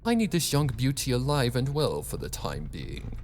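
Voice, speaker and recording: A man voicing a calm, gloating villain doctor in a story reading, with a smug, theatrical, condescending manner. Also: mid-range voice, normal speed, lightly acted. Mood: calmly menacing.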